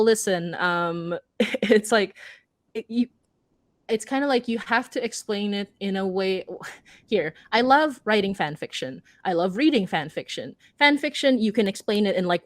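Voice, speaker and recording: A woman with a mid-pitched voice, recorded close and dry. She talks informally as a panelist, with emphatic, giggly, stop-start phrasing. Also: no echo. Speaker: panelist, audio drama and comics creator. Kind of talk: casual, animated panel talk.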